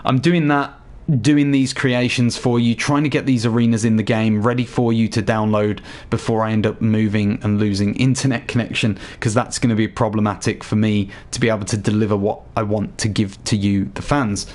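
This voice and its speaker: A man with a slightly hoarse voice chatting casually to viewers while walking them through a game showcase. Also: fairly low pitch, level tone, a little fast, darkish tone. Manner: chatty, addresses viewers directly. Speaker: gaming YouTuber. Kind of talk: casual game tutorial voice-over.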